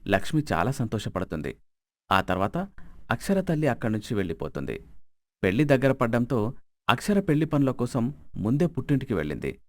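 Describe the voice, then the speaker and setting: A man's voice: medium pitch, sing-song, a little fast, pauses often, dry recording, clean studio sound. A man narrating a scripted moral story as its storyteller.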